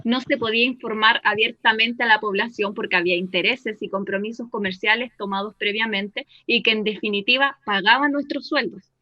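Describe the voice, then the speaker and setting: An earnest, defensive woman journalist in a radio drama, speaking by remote link. Her voice is fairly high and sharp, argued firmly through slightly muffled sound. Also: fairly even intonation, a little fast, hardly any pauses. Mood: earnest, resigned. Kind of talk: radio play as live call-in interview. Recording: dull, band-limited remote link.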